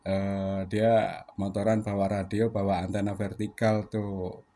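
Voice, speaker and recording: A man's voice: fairly low pitch, level tone, measured pace, warm tone. A man from Surabaya chatting casually over ham radio, with lots of fillers, ending each turn with a sign-off word. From Surabaya, Indonesia. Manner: chatty, many fillers, ends turns with "ganti". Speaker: ham radio operator and channel host.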